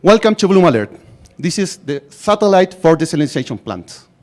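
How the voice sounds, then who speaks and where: Male presenter, Chilean accent, Spanish native. Slightly high pitch, deliberate emphatic phrasing, rehearsed pitch delivery. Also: big pitch swings. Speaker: startup founder presenting.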